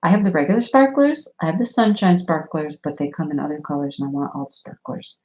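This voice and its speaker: A woman talks in a rambling, easygoing way while she works on a craft project. Her voice sits a little low, and her nose is stuffy and sniffly from a cold. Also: big pitch swings, quick pace, warm tone. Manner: rambling, chatty, laughs often. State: stuffy nose from a sinus infection. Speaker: diamond painting livestream host. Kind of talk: casual chat while crafting.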